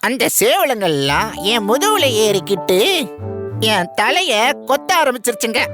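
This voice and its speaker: A woman voicing a scheming, aggrieved bird of prey in a children's cartoon, complaining dramatically over loud music. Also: a little high, very animated, normal speed. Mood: aggrieved, pained. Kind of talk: children's cartoon story acting.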